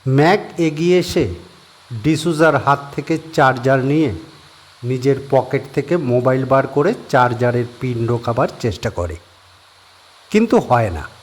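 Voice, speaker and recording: A man narrating a horror audio story in a measured storytelling manner. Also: mid-range voice, expressive.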